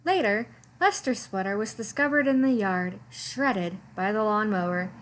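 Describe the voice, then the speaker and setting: A woman reading a children's story aloud in an animated, expressive way, with dramatic emphasis on descriptive words. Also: medium pitch, moderate pace, a little hiss or hum. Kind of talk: expressive picture-book read-aloud.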